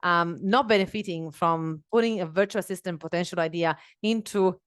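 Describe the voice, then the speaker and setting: A woman's voice: lowish voice, normal speed, no echo, clean studio sound. Earnest woman podcaster, non-native English. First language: not English. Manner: earnest and animated, many fillers and restarts, gestures while talking. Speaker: podcast host. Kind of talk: solo podcast monologue. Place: small home office, indoors. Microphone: headset mic.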